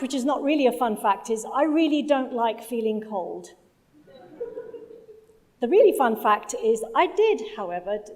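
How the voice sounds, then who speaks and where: Higher-voiced woman giving a talk. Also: darkish tone. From London, UK. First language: Punjabi. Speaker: conference speaker, project manager. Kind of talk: conference presentation. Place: conference hall stage.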